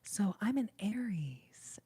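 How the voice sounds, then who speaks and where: Slow, dark, breathy whispering woman. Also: medium pitch, very animated. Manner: soft whispering, chatty, reads out viewer comments; giggly and rambling. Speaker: ASMR streamer. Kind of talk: whispered ASMR livestream chat.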